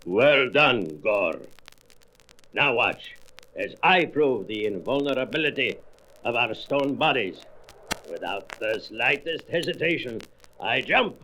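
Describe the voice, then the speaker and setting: A man's voice: medium pitch, animated. A man playing an arrogant, commanding alien invader made of stone in a comic-book audio drama, with pompous, boastful delivery. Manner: slow, pompous, boastful declamation. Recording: faintly noisy old record.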